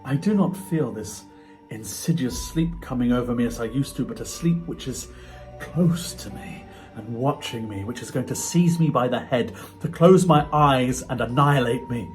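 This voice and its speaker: A man's voice: medium pitch, moderate pace, lightly acted. A man playing a tormented, unravelling man in a dramatic, intense reading of a classic ghost story. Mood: dreading. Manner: theatrical, intense, swinging from hushed dread to agitation. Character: frightened, tormented man slowly unravelling, confessing his night terrors. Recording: music behind the voice.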